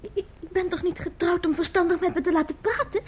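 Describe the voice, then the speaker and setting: A woman's voice: very high voice, steady tone, quick pace. An actress playing a childish, coquettish newlywed wife in an old radio drama, with a girlish, pouting, wheedling delivery. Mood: sulky, hurt. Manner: pouting, wheedling, stammering when upset. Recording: dull, faintly noisy old radio recording.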